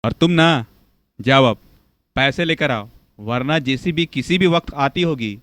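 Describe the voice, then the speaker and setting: A man's voice: medium pitch, measured pace, fairly bright tone, no room sound, natural voice. A man playing a bossy, corrupt, self-important local politician husband in a cartoon. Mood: scolding, domineering. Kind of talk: Hindi cartoon voice acting.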